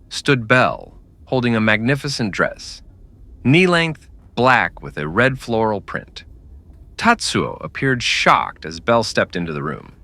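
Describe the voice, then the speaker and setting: A man's voice: mid-range voice, pushed and bright. A man narrating a scripted western story in the style of an old-time radio drama.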